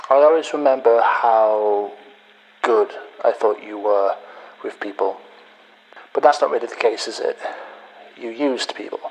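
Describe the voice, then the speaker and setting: Bitter, slow-talking actor as a male hacker. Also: medium pitch, slight background noise, close to an everyday voice, poor audio. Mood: accusing, hurt. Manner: deliberate, pausing, accusatory. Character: bitter male hacker confessing revenge to his betrayed friend. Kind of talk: dramatic monologue in a sci-fi audio story.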